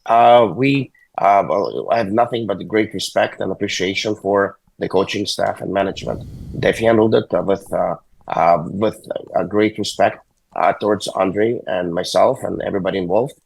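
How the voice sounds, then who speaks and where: Low gravelly guy, kinda hoarse n sick, lots of uhs, calling in, dry sound. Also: no room sound, low-quality recording. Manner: halting, many uhs and restarts. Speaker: hockey agent guest. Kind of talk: phone-in interview. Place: hospital room. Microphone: phone call.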